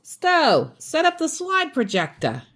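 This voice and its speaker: A woman's voice: sits high, wildly swooping pitch, takes it slowly, fairly bright tone, voice pushed up, full character voice. A woman voicing a bossy, complaining old great-aunt from a children's cartoon story, with a commanding, emphatic manner.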